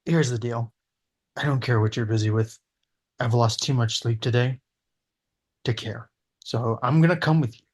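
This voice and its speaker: A man's voice: mid-range voice, normal speed, pauses often, slightly rough. A man reading a pushy, friendly in-law's lines at a casual table read. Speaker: cast member at a table read.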